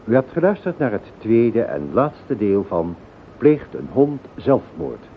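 A man reading scripted closing credits as a radio announcer in a formal, measured manner. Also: medium pitch, very animated, vocal fry. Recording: phone-like old radio recording, faint noise.

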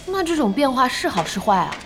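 A woman playing an excitable, bossy young boss and fanatical esports fan in an audio drama, impatient and exclamatory. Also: high voice, brisk, background noise. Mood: curious. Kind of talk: audio drama acting, conversational. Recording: music behind the voice.

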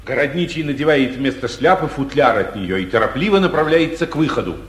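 A man's voice: medium pitch, lively intonation, brisk. A man narrating stage directions in a scripted 1940s radio play. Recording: roomy old 1940s radio recording.

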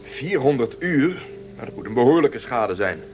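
An actor playing a brisk, decisive male spaceship commander in a science fiction radio drama. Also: mid-range voice, very animated, lightly acted. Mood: decisive, concerned. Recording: dull sound, faint music.

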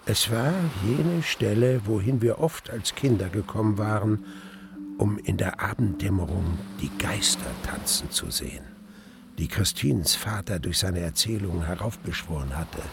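An actor playing a brooding young gentleman who narrates his memories in a measured, reflective radio play style. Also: fairly low pitch, creaky, noisy background. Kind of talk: first-person narration in a German radio play.

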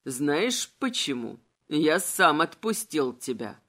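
A woman voicing a cold, manipulative male immortal villain in an audiobook, insinuating and mocking in an unhurried way. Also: sits low, very animated, fairly bright tone, voice pushed down, heavily acted. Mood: smug, insinuating.

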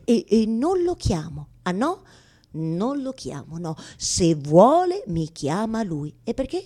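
A woman's voice: medium pitch, mellow. A lovelorn, neurotic woman alone in a radio theatre monologue, her voice in a middle register. She addresses God as a familiar, in colloquial, rambling speech. She repeats herself and keeps tacking on questions that seek an answer. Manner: rambling, repetitive, colloquial, with rhetorical tag questions. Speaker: stage actress in a solo monologue.

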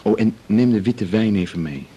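A man's voice: on the low side, moderate pace, dark tone, slightly rough. A Dutch actor playing an easygoing, reassuring male partner at home in a naturalistic radio drama. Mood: casual, soothing.

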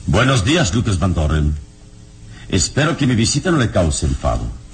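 An actor playing a calm, courteous adventurer hero in a radio serial, speaking in a measured, suave and gently ironic way. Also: male voice, low-pitched. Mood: courteous, probing. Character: calm, courteous, all-knowing adult male adventurer hero. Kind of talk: Mexican radio serial acting.

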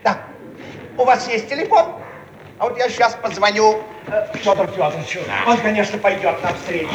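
An actor playing a fussy, self-important hanger-on who repeats himself and meddles, in a theatrical radio comedy style. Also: male voice, sits high, brisk, natural voice. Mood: fussy, officious. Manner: repetitive, fussy, busybody. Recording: echoey, noisy old radio recording.

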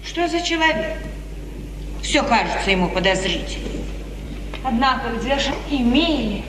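A woman's voice: fairly high pitch, expressive, moderate pace, close to an everyday voice. An actress playing an anxious, pleading, protective middle-aged mother, expressively declaimed in 1950s Russian radio theatre. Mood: troubled. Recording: noisy, reverberant 1950s radio recording, distant.

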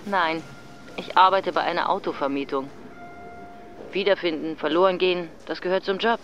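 A woman's voice: fairly low pitch, fairly even intonation, moderate pace, a bit bright, muffled recording, faint music behind, natural voice. An actress playing a caring, reflective female car rental clerk in an audio drama. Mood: gently wry.

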